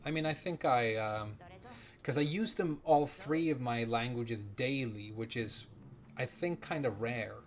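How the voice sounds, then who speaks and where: Give me a man with an average-pitched voice rambling casually on a game stream. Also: measured pace. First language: Japanese. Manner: rambling, swears casually, reads chat questions aloud. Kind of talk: casual live-stream chat while playing.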